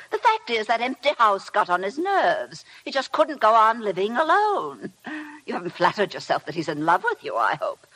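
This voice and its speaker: An actress playing a spiteful, domineering society matron, condescending and sneering, in 1950s radio drama. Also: female voice, mid-range voice, a bit bright, slightly rough, full character voice, rough recording.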